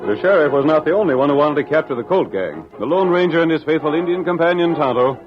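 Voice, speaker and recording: A man's voice: a little high, animated, quick pace, natural voice. A man narrating an old radio Western serial in a formal, dramatic style. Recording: dull old radio recording, loud music.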